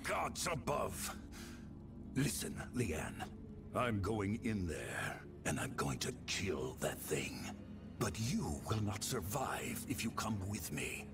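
Grim male monster slayer for a video game, gravelly, widely swooping delivery over clear music. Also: fairly low pitch, very animated, takes it slowly, heavily acted. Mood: urgent, firm. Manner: solemn, dramatic delivery. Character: grim, resolute male monster-slaying hero. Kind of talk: fantasy video game acting.

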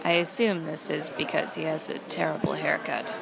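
A woman playing a sardonic young fast-food trainee who narrates an audio play in a dry, deadpan way. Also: low-pitched, creaky, over a noisy crowd, low-quality recording. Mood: dry, unimpressed.

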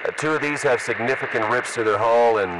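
A patrol soldier in a military shooter game. His mid-range voice is businesslike and measured in its radio procedure. It sounds distant and swamped by noise. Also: mid-range voice. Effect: radio filter. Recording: very noisy radio, distant from mic.

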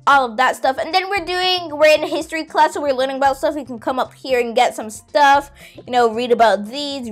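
High-pitched young girl gaming host, chatty. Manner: chatty, exclamatory, addressing viewers. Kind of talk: casual playful game tour commentary.

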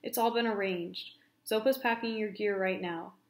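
A woman's voice: mid-range voice, moderate pace, close to an everyday voice. Woman doing a terse male expedition leader. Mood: firm. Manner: terse, firm. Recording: roomy echo.

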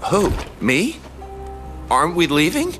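A man's voice: fairly high pitch, huge pitch swings, slow pace, over music, full character voice. An actor playing a nervous, eager male worker waiting to be rescued.

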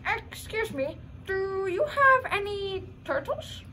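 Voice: very high-pitched, very animated, slow pace, noisy background, full character voice. A young performer voicing a weepy, gushing sock puppet shopper in a children's comedy skit, with theatrical exclamations. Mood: eager, polite.

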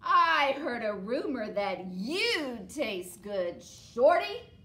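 A woman voicing a grumpy, hungry giant female troll in a storybook, loud and slow with a scratchy voice. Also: fairly high pitch, sing-song, exaggerated voice. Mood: menacing. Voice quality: scritchy scratchy voice. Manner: loud, slow, menacing growls. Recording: roomy echo.

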